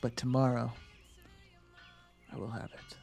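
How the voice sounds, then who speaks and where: A man's voice: mid-range voice, little pitch movement, measured pace, gravelly, a little mellow, soft background music. A man live-streaming a game, chatting casually with viewers. He thinks aloud and answers chat with lots of fillers and restarts.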